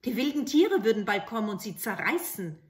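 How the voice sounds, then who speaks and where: A woman voicing a poor, soft-hearted father in a fairy tale, troubled and pleading. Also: fairly high pitch, very animated, a little fast. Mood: distressed. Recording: roomy home recording.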